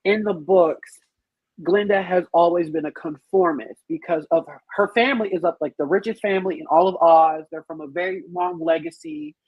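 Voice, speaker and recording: Husky voiced podcast panelist, emphatic storyteller, slightly muffled audio. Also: mid-range voice, normal speed. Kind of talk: casual podcast discussion. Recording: roomy, slightly muffled sound.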